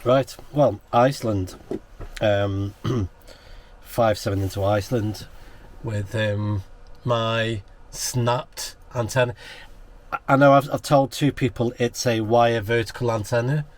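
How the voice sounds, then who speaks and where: A man's voice: mid-range voice, fairly even intonation, slow pace, a little hiss or hum. British man chatting and making radio contacts. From United Kingdom. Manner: chatty, spells words out in phonetic alphabet. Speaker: amateur radio operator and vlogger. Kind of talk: casual vlog talk and radio contact exchanges. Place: portable radio outing.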